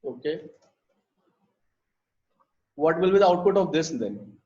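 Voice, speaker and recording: A man's voice: on the high side, lively intonation, slow, darkish tone. A man teaching a live programming class, explaining step by step with frequent fillers and repeated words. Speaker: programming instructor. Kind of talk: live online coding lesson. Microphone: computer mic in a live class. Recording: dull lo-fi live class audio.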